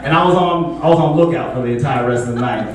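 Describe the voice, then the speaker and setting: A young man performing a stand-up comedy set in a relaxed, conversational way, full of filler words. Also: medium pitch, fast. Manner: laid-back, conversational, frequent filler words. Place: comedy show venue with a live audience. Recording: echoey room, distant mic, audible noise.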